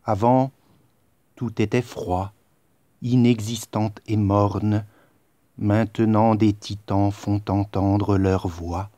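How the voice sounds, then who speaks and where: A man reciting his own poem in a dramatic, declamatory style, solemn and measured, with pauses between phrases. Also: fairly low pitch, fairly even intonation, warm tone, no room sound.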